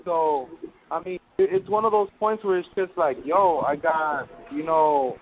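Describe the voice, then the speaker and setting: Man in his twenties, fairly high voice, hesitant slangy delivery, muffled audio, faint background noise. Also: unhurried. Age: 20s. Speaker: call guest, young entrepreneur. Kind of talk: casual personal account on a group call. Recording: muffled noisy conference call.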